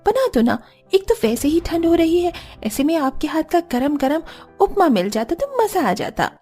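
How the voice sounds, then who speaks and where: A woman's voice: very high voice, sing-song, normal speed, mellow, soft background music. A woman voicing a whiny, pleading, dramatic young daughter in a cartoon. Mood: whiny, coaxing. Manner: pleading, whining.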